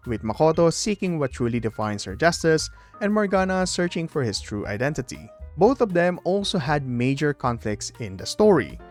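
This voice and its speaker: A man presents a scripted gaming video essay with a lively, varied intonation. He leans on the openings of his phrases and speaks straight to the viewer. Soft music plays behind his mid-pitched voice. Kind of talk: scripted video game commentary. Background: background music.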